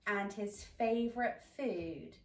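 A woman's voice: medium pitch, slow pace, far from the mic. A woman voicing a small, clever, polite mouse in a read-aloud storybook. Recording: echoey room, away from mic.

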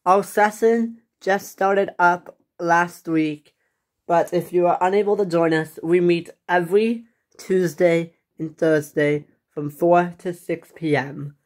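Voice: medium pitch, fairly even intonation, slow pace, natural voice. A performer voicing a firm, polite karate instructor who talks in an instructing way in a pretend-play story. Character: insistent, chipper karate instructor.

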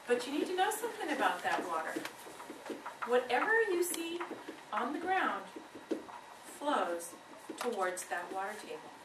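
A woman with a fairly high voice gives an educational presentation. She explains things directly to her listeners. She is recorded from a distance in a noisy classroom. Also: big pitch swings, a little slow, long pauses, roomy sound. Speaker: guest presenter. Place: darkened classroom. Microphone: far camera.

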